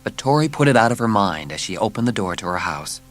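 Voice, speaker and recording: A man narrating a children's story as scripted audiobook, with measured, dramatic storytelling. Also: fairly low pitch, sing-song, a bit bright.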